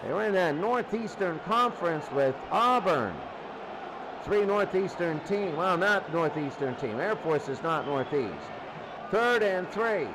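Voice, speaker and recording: High-voiced male football play-by-play announcer, noisy recording. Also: animated, measured pace. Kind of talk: live American football play-by-play commentary.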